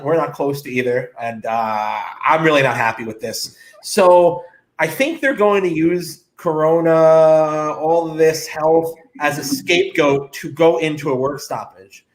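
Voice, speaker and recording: Higher-pitched man, blunt sports co-host. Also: low-quality recording. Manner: blunt, opinionated, breaks points into short punchy phrases. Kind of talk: casual sports talk and opinion.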